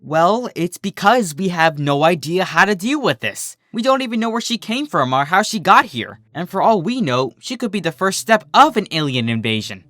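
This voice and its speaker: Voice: medium pitch, very animated, moderate pace, few pauses, fairly bright tone, no room sound, voice pushed up. A storyteller voicing an anxious, bossy young female unicorn with a fretful, insistent manner. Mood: paranoid.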